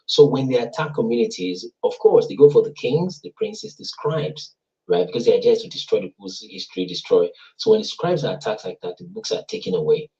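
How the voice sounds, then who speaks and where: A man's voice: mid-range voice, expressive, a little fast, warm tone. A man hosting a casual talk, explaining in a teacherly way and tagging his points with "right?" and "you see". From Africa. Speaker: host explaining language links. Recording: dull lo-fi sound.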